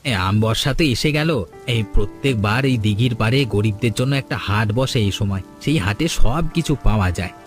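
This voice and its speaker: A man's voice: on the low side, fairly quick, soft background music, lightly acted. A man playing a crafty henchman to a village boss in a cartoon folk tale, coaxing and obsequious. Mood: scheming.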